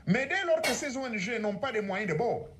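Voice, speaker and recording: A man's voice: very high-pitched, animated, moderate pace, a bit bright, a little hiss or hum. A man whose first language is Ngomienne, giving a sweeping, oratorical speech at a conference. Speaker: conference speaker.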